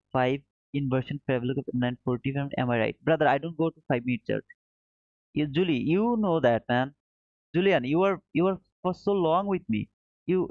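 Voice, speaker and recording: A man's voice: medium pitch, dry recording. A man from Bangladesh, not an English speaker, hosting a trading livestream in an earnest, repetitive way and calling his viewers brother. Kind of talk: casual live-stream commentary. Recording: dull muffled dry sound.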